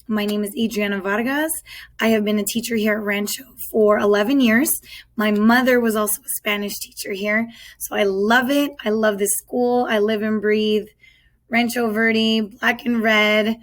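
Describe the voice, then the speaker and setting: A woman in her thirties, a high school Spanish teacher, talking earnestly to parents in a recorded welcome, with many ums and restarts.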